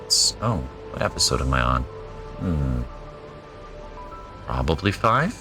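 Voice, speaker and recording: Very deep-voiced laid-back gamer man. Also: big pitch swings, slow, music in the background. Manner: easygoing, musing, wry asides. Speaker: gaming channel host. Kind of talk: casual let's play commentary.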